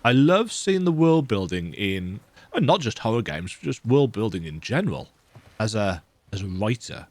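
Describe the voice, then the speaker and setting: A man's voice: on the low side, very animated, normal speed, darkish tone, no room sound. A man with a bit of a cold giving chatty, self-deprecating commentary while playing a horror game at home. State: slightly sick with a cold. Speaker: gaming video host. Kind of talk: casual let's-play commentary. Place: dark room at home with lights off.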